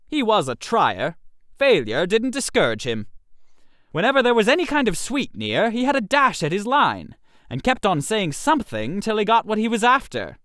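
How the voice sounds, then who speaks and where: A man narrating a comic first-person story in a chatty, expressive way, in character as an idle gentleman. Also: high-pitched, dry recording, studio quality.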